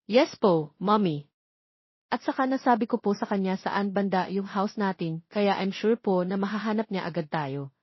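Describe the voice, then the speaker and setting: A woman's voice: medium pitch, normal speed, husky, dry recording, dull audio, natural voice. A woman voicing sweet, polite young twin boys, earnest and a little sulky, in an audio romance story. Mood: eager, reassuring.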